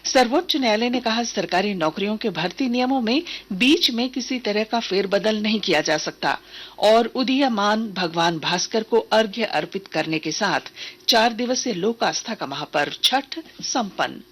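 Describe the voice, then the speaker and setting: A woman reads a radio news bulletin from a script. Her voice sits at a middle pitch with a husky edge, and her delivery is formal and measured. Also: lively intonation, fairly bright tone. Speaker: news reader.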